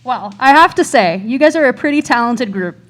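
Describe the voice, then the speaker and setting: A young woman playing a chatty female host of a tall-tale interview show in a radio play. Her voice sits high as she questions guests in scripted banter. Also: expressive, fairly quick, natural voice. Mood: upbeat, wrapping up. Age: young adult. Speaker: actor in student radio play.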